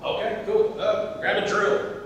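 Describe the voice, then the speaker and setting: A man playing a brisk, mildly exasperated theater set builder in a stage comedy, with a curt manner. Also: sing-song, fairly bright tone, heavily acted. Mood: brisk, impatient. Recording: echoey theater, distant mic, rumbling noise.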